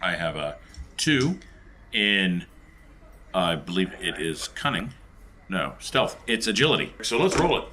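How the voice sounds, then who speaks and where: Bright-voiced, playful man at a game table. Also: sits low, sing-song, a little slow, pauses often. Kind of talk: casual game-table chat.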